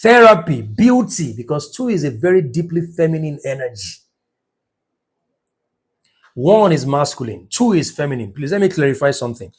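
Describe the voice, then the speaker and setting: A man's voice: fairly high pitch, sing-song, moderate pace, slightly rough. A man giving a numerology lesson, speaking emphatically and checking in with his listeners as he goes. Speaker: numerology teacher. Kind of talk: teaching talk.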